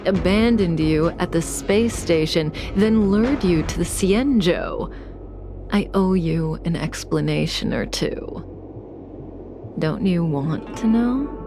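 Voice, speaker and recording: A woman's voice: on the low side, animated, slow. A woman voicing a cool, mysterious, teasing manipulator in a video game cutscene, composed and in no hurry. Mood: composed, explanatory. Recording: music bed behind the voice.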